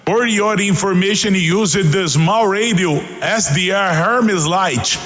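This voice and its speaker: A Brazilian man, a native Portuguese speaker, making ham radio calls in effusive, repetitive, halting English. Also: high-pitched, a bit bright, dull audio, soft background music. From South America. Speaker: amateur radio operator. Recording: echoey, long reverb voice audio.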